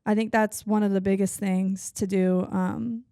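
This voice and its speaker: A woman's voice: mid-range voice, level tone, a little slow, mellow, smooth, dry recording, clean studio sound. A woman with a Southern accent, a singer-songwriter guest chatting casually, with lots of "like" and "you know". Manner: chatty, lots of likes and backchannel. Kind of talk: casual chat.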